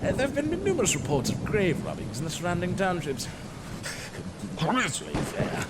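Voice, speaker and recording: A man playing a pompous, jovial older gentleman in a comedic gothic horror audio drama, hearty and old-fashioned in manner. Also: sits high, huge pitch swings, moderate pace. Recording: echoey reverberant room with background noise.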